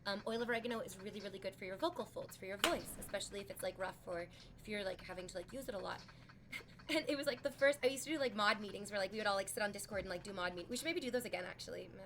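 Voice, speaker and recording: A woman's voice: mid-range voice, very fast, noisy background. A woman in her thirties, a streamer chatting casually with her viewers, rambling frankly with lots of "like". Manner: rambling, frank, heavy use of "like". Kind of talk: casual chat with live chat.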